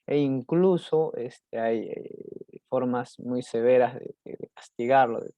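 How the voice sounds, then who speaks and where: A young Peruvian man with a Peruvian Spanish accent, a student giving a recorded class presentation, halting with many restarts and fillers. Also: medium pitch, dark tone, no echo, muffled recording. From Peru.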